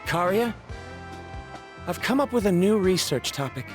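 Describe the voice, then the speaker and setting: A man's voice: sits high, animated, measured pace. An actor playing a curious male researcher who questions others in game dialogue. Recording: game audio with faint music.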